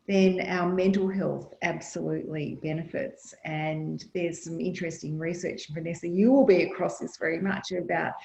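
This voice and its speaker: A woman's voice: fairly low pitch, fairly even intonation, normal speed, vocal fry, hardly any pauses, a little mellow. A woman speaking on a panel, earnest and thoughtful, with many fillers and restarts, heard over a video call. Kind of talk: panel discussion answer. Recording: echoey, dull lo-fi video call.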